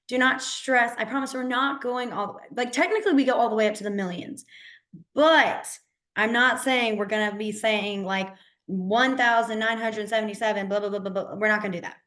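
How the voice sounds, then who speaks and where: A woman whose voice is hoarse and half gone. She teaches a beginner class online over a computer mic, chatty and encouraging, with plenty of fillers. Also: medium pitch, fairly bright tone. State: hoarse, partly lost voice. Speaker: sign language teacher.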